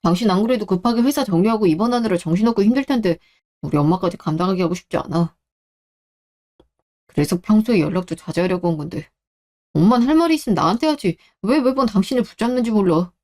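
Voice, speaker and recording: A woman's voice: mid-range voice, lively intonation, fast talker, darkish tone, lightly acted. A woman playing a protective young husband, earnest and firm, in a radio drama. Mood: protective, reassuring. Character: protective young husband, caring but exasperated with his mother. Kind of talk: Korean radio drama acting.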